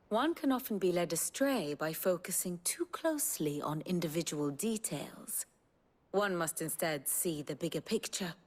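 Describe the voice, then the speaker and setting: A woman playing a composed, wry scholarly strategist in a video game, speaking in a measured, eloquent, theatrical way. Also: on the low side. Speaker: strategist and lorekeeper. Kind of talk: dramatic video game acting, lecturing. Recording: music behind the voice.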